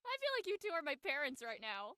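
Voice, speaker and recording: A woman playing an excitable, self-deprecating young woman with a playful, dramatic way of talking in an audio drama. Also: high voice, fast, heavily acted. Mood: sheepish joking. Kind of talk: acted audio drama dialogue.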